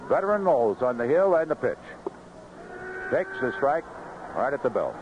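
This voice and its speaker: A man's voice: mid-range voice, very animated, moderate pace. A man doing live radio play-by-play of a baseball game, conversational and folksy between the calls. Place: outdoor ballpark broadcast booth. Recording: dull, noisy vintage 1970s radio broadcast.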